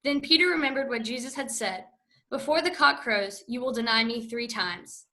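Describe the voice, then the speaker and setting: Woman narrator, church reading; bright, slightly high, steady. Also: normal speed. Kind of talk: liturgical scripture narration.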